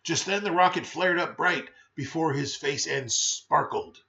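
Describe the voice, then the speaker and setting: A man's voice: a little high, measured pace, vocal fry, bright, forceful tone, dull audio. A man reading a children's rhyming picture book aloud in a theatrical, playful, emphatic way with brief chatty asides.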